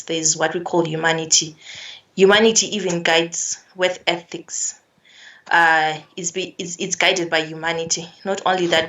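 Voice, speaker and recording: Young Zimbabwean woman, interview guest: low, even delivery, earnest and measured, mic set back. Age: young adult. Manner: earnest, measured, repeats phrases and restarts words. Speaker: climate activist guest. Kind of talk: remote interview answers. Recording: distant from the mic.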